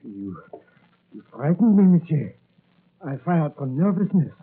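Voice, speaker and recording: An actor playing a nervous, apologetic French novelist with a French accent in 1940s-style radio drama. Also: male voice, on the high side, very animated, unhurried, husky, exaggerated voice. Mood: nervous, placating. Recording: muffled, narrow-band vintage radio recording.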